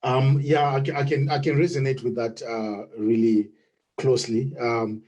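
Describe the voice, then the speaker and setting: Zimbabwean man, dark husky voice. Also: medium pitch, flat delivery, normal speed. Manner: hesitant, many fillers and restarts. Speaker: webinar participant. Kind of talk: unscripted contribution in an online meeting. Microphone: video call.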